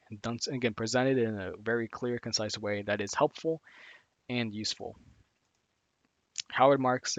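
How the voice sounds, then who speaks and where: A man's voice: on the low side, a bit bright, dry recording. A man streaming live, talking casually through a list of finance reading resources, rambling with lots of fillers and restarts. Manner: rambling, heavy on "like" and "again", frequent restarts.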